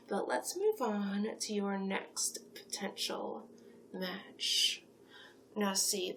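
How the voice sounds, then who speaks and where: Slow, creaky female sphinx matchmaker, acted by a woman. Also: mid-range voice, slight background noise. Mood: gossipy, flattering. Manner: coaxing, flattering, chatty, whispery in places. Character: flattering, gossipy female sphinx monster matchmaker. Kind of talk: soft-spoken ASMR roleplay.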